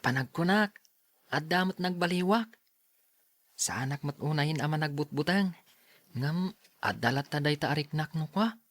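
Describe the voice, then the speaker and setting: An actor plays a timid youth in a radio drama, earnest and a little plaintive. The delivery is deliberate, and the sound is dry and close. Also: medium pitch, expressive, dry recording, natural voice. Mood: grateful. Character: timid earnest youth Aljun, son and younger brother.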